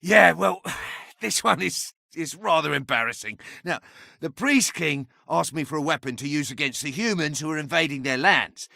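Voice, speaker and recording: An ancient, chatty enchanted object from a fantasy video game, high and wildly swooping in pitch. The delivery is pompous, sardonic and theatrical, with a gravelly crackle around the edges. It sounds like a boastful, bawdy little adviser who loves to talk. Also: male voice, lively intonation, normal speed, sharp tone, dry recording, studio quality, full character voice. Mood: sheepish explaining. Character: impish, talkative, bawdy ancient sentient staff, boastful adviser.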